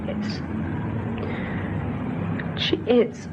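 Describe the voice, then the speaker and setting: An actress playing a despairing young mother in an old radio drama, bitter yet gentle, speaking in an earnest, halting way. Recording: dull, faintly noisy old radio recording.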